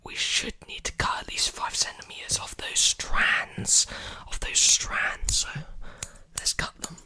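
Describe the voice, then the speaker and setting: A man in his early twenties whispering softly and breathily in an ASMR roleplay, soothing and repeating short phrases, very close to the microphone. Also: measured pace. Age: early 20s. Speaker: ASMR creator playing a robot repair technician. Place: small indoor room. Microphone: desktop condenser mic very close to the mouth.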